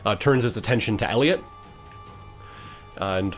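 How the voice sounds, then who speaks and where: A man's voice: fairly low pitch, a little slow. A man acting as game master, narrating combat in a tabletop game in a matter-of-fact, slightly hesitant way. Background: music.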